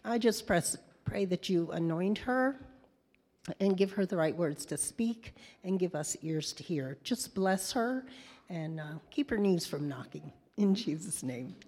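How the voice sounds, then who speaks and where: A mature woman addresses a church gathering as an informal host. Her voice is a little low for a woman, and she talks in a halting, unrehearsed way. The sound carries the long reverberation of a hall. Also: a little mellow. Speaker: church member introducing a guest speaker. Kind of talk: informal spoken introduction and prayer. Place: church hall, speaking to congregation.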